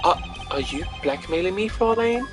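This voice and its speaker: A woman's voice: fairly low pitch, expressive, moderate pace, music in the background, lightly acted. A woman voicing a cool but self-pitying young male prosecutor in a video game, complaining theatrically. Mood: taken aback.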